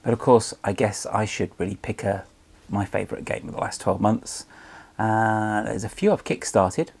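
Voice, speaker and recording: A man's voice: fairly low pitch, steady tone, normal speed, gravelly, darkish tone, husky. A man hosting a vlog, chatting casually with plenty of fillers and friendly plugs for his guest. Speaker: channel host.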